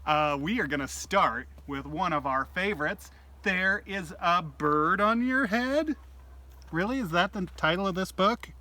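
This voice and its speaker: Slow-paced man hosting a children's storytime. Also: high-pitched, animated, pushed and bright, far from the mic, slight background noise. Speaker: children's library storytime host. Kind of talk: casual, playful talk to children. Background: acoustic guitar.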